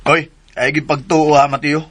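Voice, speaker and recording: An actor with a southern Philippine accent playing a brash, jealous grown son with an insistent, confrontational manner in a radio drama. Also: male voice, a little high, flat delivery, normal speed, a bit bright. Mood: needling.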